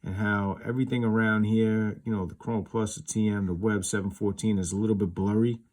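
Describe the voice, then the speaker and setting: A man's voice: fairly low pitch, steady tone, fairly quick, darkish tone. A man giving a casual, unscripted comparison as a collector hosting his own video, explaining things in an informal, rambling way. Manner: informal, rambling, explanatory, peppered with you knows.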